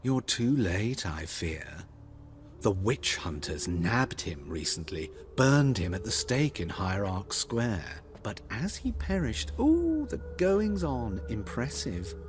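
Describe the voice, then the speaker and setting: Flamboyant male fantasy-game tailor: witty, coy, lowish voice with very lively intonation. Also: slow. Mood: gossipy. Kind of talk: video game dialogue acting.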